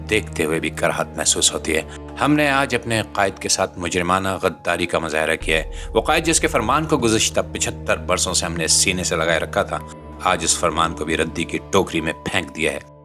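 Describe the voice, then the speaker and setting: Deep, forceful Pakistani male commentator. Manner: indignant, dramatic rhetorical delivery with formal literary vocabulary. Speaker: commentator, channel presenter. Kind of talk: scripted political commentary voice-over.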